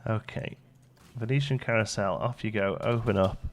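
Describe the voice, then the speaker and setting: A man's voice: on the low side, moderate pace. A man hosting a let's play, chatting casually and thinking aloud as the game goes along. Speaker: gaming video host.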